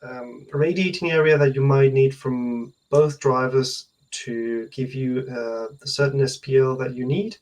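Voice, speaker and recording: A man in his thirties speaks as an engineer being interviewed on a video call. His voice sits at a middle pitch. He explains technical detail hesitantly, often pausing mid-sentence to gather his thoughts. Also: steady tone, darkish tone. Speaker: loudspeaker research engineer, interview guest. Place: indoor room at home, on a video call.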